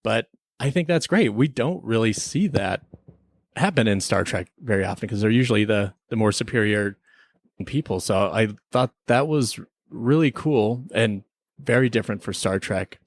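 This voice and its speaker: A man's voice: lowish voice, moderate pace, no echo, clean studio sound. A man hosting a podcast, talking through a review in a casual, playful and wry way. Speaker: podcast host.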